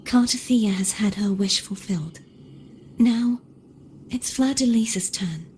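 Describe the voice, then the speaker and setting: Actress as slow, soft-spoken doomed young woman. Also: medium pitch, slight background noise, lightly acted. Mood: sorrowful, at peace. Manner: solemn, deliberate, wistful. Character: gentle doomed young woman, resigned and self-sacrificing. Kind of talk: dramatic video game voice acting.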